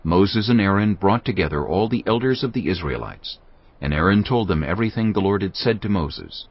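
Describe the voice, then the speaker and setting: Deep, husky male Bible narrator. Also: brisk, no echo. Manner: calm, storytelling. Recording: muffled lo-fi audio.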